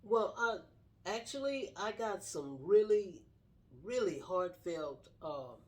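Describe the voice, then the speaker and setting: A woman's voice: mid-range voice, animated, slow, a little mellow. A middle-aged woman sharing her personal story casually in an earnest, straightforward way. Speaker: guest telling personal story, wife of the host.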